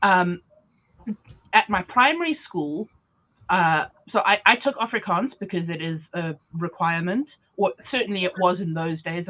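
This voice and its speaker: A woman from South Africa chatting casually and emphatically, with frequent fillers, on a podcast. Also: lowish voice. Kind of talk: casual chat. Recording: tinny phone-like line.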